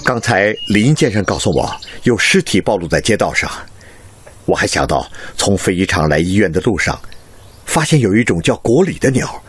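An actor playing a calm, watchful medical professor in a radio drama, speaking in a measured, instructive way. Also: male voice, fairly low pitch, a little hiss or hum. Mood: earnest, cautioning. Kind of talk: Chinese radio drama acting.

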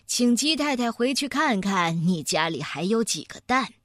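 A woman voicing a calm, methodical male bear scientist who explains things in an unhurried, deliberate way. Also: fairly high pitch, no room sound, clean studio sound. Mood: calm, assured.

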